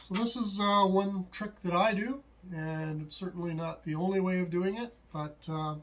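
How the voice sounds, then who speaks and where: A middle-aged man giving a casual, unscripted how-to explanation, halting and thinking aloud as he goes. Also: sits high, fairly bright tone, poor audio. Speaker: hobbyist host of a DIY tutorial. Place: small indoor home workshop at a desk, later at a computer.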